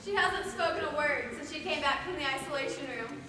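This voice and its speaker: A woman's voice: high voice, brisk, noisy background, close to an everyday voice. A young woman playing a concerned, defiant asylum patient in a school stage play. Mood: urgent, concerned. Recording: distant reverberant school auditorium.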